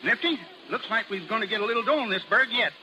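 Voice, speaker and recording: A man's voice: sits high, expressive, quick pace. An actor playing a chatty, slangy American carnival ticket man in 1930s radio style. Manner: slangy, colloquial. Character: folksy, chatty carnival ticket-seller sidekick, adult male. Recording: noisy old 1930s radio recording.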